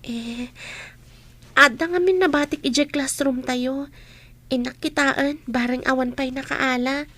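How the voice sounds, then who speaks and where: A woman's voice: high-pitched, slow, pauses often, fairly bright tone, exaggerated voice. A woman playing a naive, self-doubting schoolgirl who gets teased, in radio drama style. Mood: flustered. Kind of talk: Ilocano radio drama acting.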